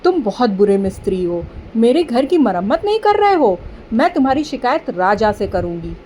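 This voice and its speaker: A woman voicing a small, caring mother bird in an animated story, earnest and plaintive, with emphatic pleading. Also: fairly high pitch, normal speed, a little hiss or hum. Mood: indignant.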